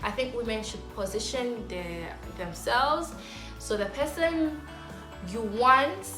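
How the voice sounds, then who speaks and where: A woman's voice: on the high side, slow pace. A young woman from Zambia chatting to camera as a vlogger, rambling casually with lots of fillers and restarts. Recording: echoey room with music behind. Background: clear background music.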